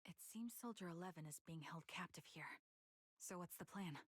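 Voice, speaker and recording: Husky, hushed agent. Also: female voice, fairly low pitch, normal speed, dry recording.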